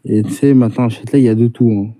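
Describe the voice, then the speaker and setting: A man's voice: mid-range voice, steady tone, racing, warm tone. A man from Paris, arguing insistently in a heated casual debate and talking over the others.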